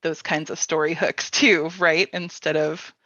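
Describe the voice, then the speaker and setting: A woman's voice: deep voice, little pitch movement, measured pace, a bit bright, dry recording, muffled recording. A woman hosting a casual workshop chat about tabletop gaming, talking informally and thinking aloud as she goes. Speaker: workshop host taking chat questions.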